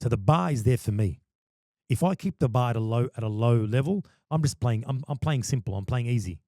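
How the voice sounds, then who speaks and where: A man talks about his work in an emphatic, passionate way, stumbling over repeated words before each point lands. His voice is mellow and dark, and it is recorded close and dry with almost no room sound. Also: mid-range voice, expressive, a little fast, no room sound. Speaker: podcast guest, wedding MC. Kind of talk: casual podcast chat.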